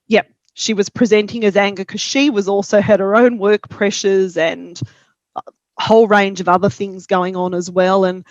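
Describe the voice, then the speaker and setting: A woman with an Australian accent, a parent helpline counsellor speaking calmly and reflectively in a webinar discussion. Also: mid-range voice, moderate pace, dry recording, dull audio.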